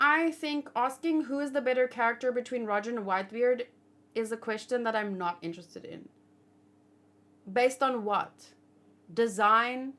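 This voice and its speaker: Woman, slightly high voice, casual livestream host, conversational commentary, opinionated, thinking out loud. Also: normal speed. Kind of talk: casual chat, manga discussion and commentary.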